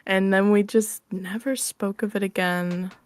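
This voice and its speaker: A woman's voice: medium pitch, steady tone, measured pace, no echo. A woman chatting casually as an interview guest, informal and playful, speaking into a close microphone. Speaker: interview guest, ASMR roleplay creator. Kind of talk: casual chat. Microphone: close microphone on an online call.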